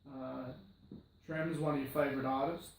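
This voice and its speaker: A man's voice: medium pitch, level tone, normal speed, gravelly, slight background noise. Distant echoey male interviewer. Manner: conversational, asks long leading questions. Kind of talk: casual interview questions. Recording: far from the mic in a very echoey room.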